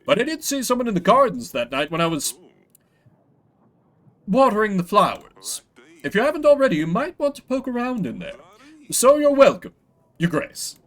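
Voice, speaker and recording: Man putting on a slick, animated scheming lord. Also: high voice, normal speed, pauses often, pitched above the performer's own voice. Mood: conspiratorial. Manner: smooth-talking, persuasive salesman. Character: scheming noble lord pitching a shady drug trade.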